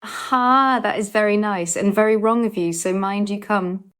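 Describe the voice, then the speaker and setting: A woman's voice: medium pitch, normal speed, a bit bright, clear, resonant tone, natural voice. A woman playing an airy, playfully scandalised aristocratic Duchess in an audiobook, with gushing, mannered speech. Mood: flirtatious. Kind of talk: audiobook character dialogue.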